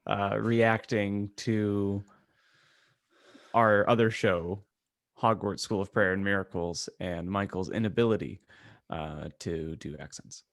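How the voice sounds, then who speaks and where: Male podcast host, lowish voice, casual and irreverent, trading jokes with co-hosts. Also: fairly even intonation, slow pace. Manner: irreverent, joking. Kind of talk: casual bantering group chat.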